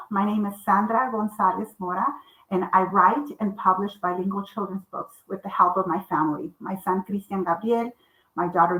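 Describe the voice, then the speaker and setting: A woman's voice: medium pitch, bright, forceful tone. Earnest woman author on an echoey video call. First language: Spanish. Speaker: children's book author. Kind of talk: library author talk.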